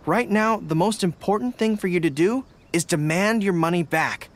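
A man's voice: high-pitched, close to an everyday voice. A young man voicing an earnest, formal young dog-eared general in a video game. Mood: firm, considered. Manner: earnest, deliberate, formal phrasing. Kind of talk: video game character dialogue.

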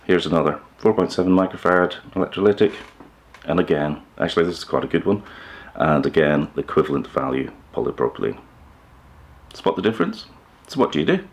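A man in his fifties with an extremely low, creaky voice talks to camera in an instructional style. He explains in a measured way, pausing as he goes, seated indoors in a living room. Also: big pitch swings. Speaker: presenter of a hi-fi DIY video channel.

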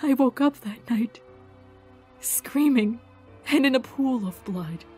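Woman playing a worn-down wry lady scientist, slow halting talk, higher voice, music behind, audio drama. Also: expressive, warm tone. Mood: pained confession. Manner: halting, wry, emotionally guarded. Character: grief-worn, sardonic adult woman scientist in survivor camp. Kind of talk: fan audio drama acting.

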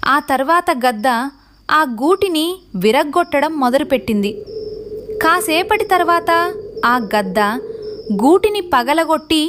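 Casting: female storyteller for kids' cartoon, high-pitched, scripted narration, slightly noisy track. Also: expressive, unhurried, long pauses.

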